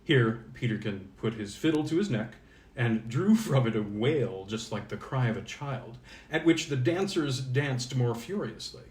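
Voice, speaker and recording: A man's voice: medium pitch, lively intonation, a little slow, darkish tone, echoey room, distant mic. A man speaking as an informal storyteller, reading and introducing old tales.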